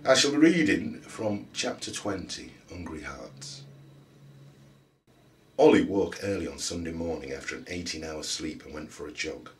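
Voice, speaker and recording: A man reading literary fiction aloud in an expressive, dramatic storytelling manner, as an actor would. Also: low-pitched, normal speed, slight background noise. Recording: distant microphone.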